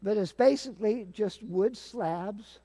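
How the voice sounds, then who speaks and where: A man's voice: sits high, unhurried, mellow, a little hiss or hum. An older man casually explaining and demonstrating things as a guest. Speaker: guest, theater sound-effects practitioner.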